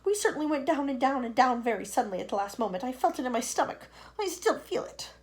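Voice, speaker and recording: A woman's voice: high voice, animated, quick pace, darkish tone, pitched above the performer's own voice. A woman doing a gloomy earthworm. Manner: gloomy, murmuring. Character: gloomy complaining male earthworm.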